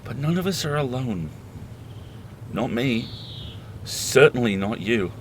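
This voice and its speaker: A man playing a weary, reflective horror host, speaking intimately to listeners in a deliberate, halting, earnest audio drama monologue. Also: medium pitch, animated, noisy background. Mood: somber, ominous.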